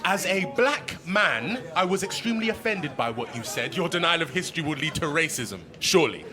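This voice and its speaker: An actor playing an offended young student, confrontational, in a radio drama. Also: male voice, a little high, expressive, brisk, natural voice. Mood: offended, challenging. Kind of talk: radio drama crowd scene. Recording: audience crowd around him.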